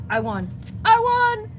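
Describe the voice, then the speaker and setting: A woman's voice: very high-pitched, wildly swooping pitch, extremely slow. A woman who runs a record store, chatting casually on a livestream and reading out viewer comments in a chatty, upbeat way. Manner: chatty and upbeat, often saying "so yeah". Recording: noisy background.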